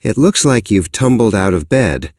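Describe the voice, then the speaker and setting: A man voicing a wise, kindly badger with a deep, slow voice in a storybook. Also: big pitch swings, darkish tone, dry recording, clean studio sound. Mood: friendly. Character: wise old male badger, kindly and unhurried.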